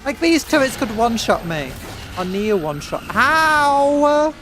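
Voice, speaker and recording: A woman's voice: high-pitched, big pitch swings, a little slow, a bit bright, slight background noise. A British woman giving casual, playful, chatty gameplay commentary as a streamer. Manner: playful, chatty, talking to the game. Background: game audio and music.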